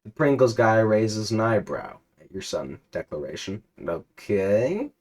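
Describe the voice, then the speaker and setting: Deadpan man reading a text adventure. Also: lowish voice, moderate pace. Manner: deadpan narration, prone to laughing fits. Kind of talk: mock-dramatic reading.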